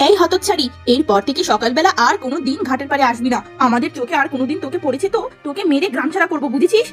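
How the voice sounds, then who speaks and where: A woman voicing a spiteful, superstitious village neighbour in a cartoon, shrill and scolding. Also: lively intonation, very fast, faint music behind. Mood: threatening.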